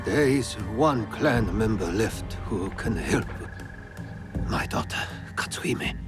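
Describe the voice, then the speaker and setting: An actor playing a bitter, drunken old fallen samurai lord in a video game, self-pitying and dramatic. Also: male voice, lowish voice, lively intonation, takes it slowly. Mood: weary. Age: older. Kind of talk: video game cutscene acting. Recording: loud music behind the voice. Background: loud music.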